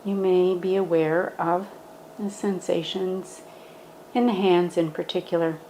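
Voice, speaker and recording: Slow, calm woman guiding yoga. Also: fairly low pitch, a little hiss or hum, rough recording. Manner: calm, gentle, instructive. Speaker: meditation and yoga guide. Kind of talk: guided yoga meditation.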